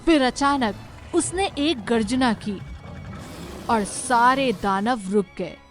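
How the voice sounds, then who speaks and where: A woman giving scripted, dramatic narration of an animated story. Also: a little high, takes it slowly, soft background music.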